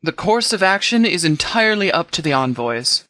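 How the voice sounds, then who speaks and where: A man's voice: sits high, sing-song, normal speed, bright, forceful tone, no echo, full character voice. A man playing a stern, blunt, battle-weary military leader in a video game, with a firm, clipped, authoritative delivery. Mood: firm, commanding. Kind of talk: video game dialogue acting.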